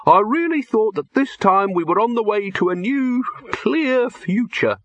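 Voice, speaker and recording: Man doing a high-pitched, glum, fussy alchemist. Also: lively intonation, measured pace, no room sound, muffled recording. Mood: doubtful. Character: fussy, glum male alchemist, doubtful and weary.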